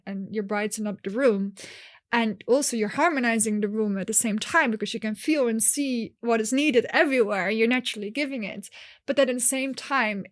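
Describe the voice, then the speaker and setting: A woman's voice: mid-range voice, no room sound, studio quality. A woman coach raised in Nepal, speaking earnestly and expressively in a conversational interview, with emphatic points.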